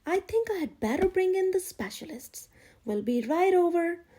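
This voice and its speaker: Fussy picture-book physician, male role read by a woman: high voice, brisk, emphatic, professional. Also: animated, dark tone. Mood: pondering.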